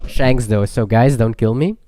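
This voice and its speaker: A man casually commentating a card game tournament stream, explaining plays in relaxed gamer slang. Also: medium pitch, a little slow, no room sound.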